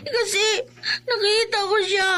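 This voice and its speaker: A woman voicing a frightened small girl in a horror radio drama, whimpering and halting as she pleads. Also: very high voice, steady tone, bright, forceful tone, exaggerated voice. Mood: frightened, tearful. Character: frightened small girl afraid of her own father.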